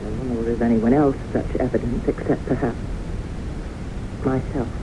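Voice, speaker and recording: An actress playing a haunted, earnest woman in a 1970s radio drama, speaking with halting, deliberate intensity. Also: very deep voice, steady tone. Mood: hushed, earnest. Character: haunted, earnest woman convinced death pursues her. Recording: noisy, muffled 1970s radio broadcast recording.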